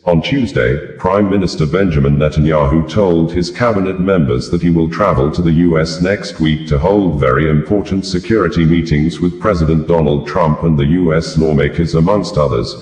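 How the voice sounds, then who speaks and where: Echoey room, man, low-pitched voice, formal news reading, long scripted sentences. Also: a little mellow. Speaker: news reader.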